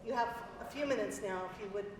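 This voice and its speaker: A woman hosting a memorial lecture, introducing speakers in a gentle, slightly hesitant way. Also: mid-range voice, fast. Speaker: event host. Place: lecture hall. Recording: echoey hall from far off with noise.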